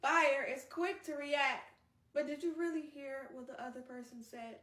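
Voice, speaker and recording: A woman hosting a read-aloud, moving between narration and casual, expressive, chatty asides. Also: sits high, moderate pace. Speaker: host reading a book aloud on a live stream. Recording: roomy echo, distant microphone.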